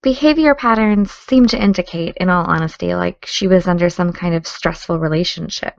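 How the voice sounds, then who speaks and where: A creaky-voiced teenage girl acted by a woman leads an investigation in an audio drama. She talks briskly and with dry sarcasm. The sound is close, dry and slightly dulled. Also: on the low side, dry recording, highs cut off. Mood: focused, matter-of-fact. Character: determined, sardonic teenage girl podcaster investigating a case. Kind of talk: naturalistic audio drama dialogue.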